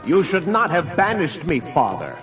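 Evil gloating storybook villain, grandiose, big swings in pitch. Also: male voice, wildly swooping pitch, moderate pace, a bit bright, faint music behind. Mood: cold menace. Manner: menacing, grandiose. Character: evil, gloating son plotting galactic conquest. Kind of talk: children's read-along storybook acting.

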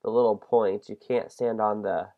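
A young man with a head cold and a congested voice, hosting a casual game let's-play, excitable and self-mocking. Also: on the low side, normal speed, gravelly, muffled recording. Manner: excitable, self-deprecating, shrieks at mistakes. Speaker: gaming channel host.

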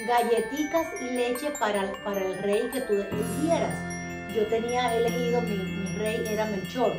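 A Cuban woman in her sixties speaks with a Cuban Spanish accent in a chatty, reminiscing tone, recorded by a camera across her living room. The room sounds echoey, and loud music plays behind her voice. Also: fairly high pitch, normal speed. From Cuba. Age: 60s. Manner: reminiscing, chatty, addresses viewers directly with rhetorical questions. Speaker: vlogger. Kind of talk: personal storytelling to camera.

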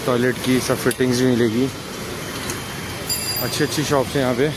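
Male street vlogger, Mumbai accent, mid-pitched, informal and repetitive tour-guide delivery, recorded outdoors amid heavy traffic noise. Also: steady tone, moderate pace. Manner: informal, repetitive, pointing things out as he goes. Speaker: vlogger guiding a market tour. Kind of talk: casual walking-tour commentary. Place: outdoors on a busy market street. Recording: loud street traffic and ambience. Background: traffic and urban street noise.

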